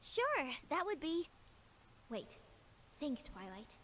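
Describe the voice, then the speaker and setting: Woman voicing a high-pitched, flustered young unicorn mare. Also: wildly swooping pitch, takes it slowly, fairly bright tone, a little hiss or hum, full character voice. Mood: flustered, uneasy. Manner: flustered, hesitant. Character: anxious, bookish young female cartoon unicorn pony. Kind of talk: animated fan drama acting.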